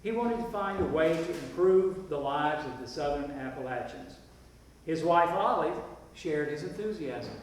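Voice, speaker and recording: A man's voice: a little high, animated, moderate pace, natural voice. A man playing a folksy small-town narrator, introducing and telling the story in a staged play reading. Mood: folksy, welcoming. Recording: echoey hall from far off, hiss.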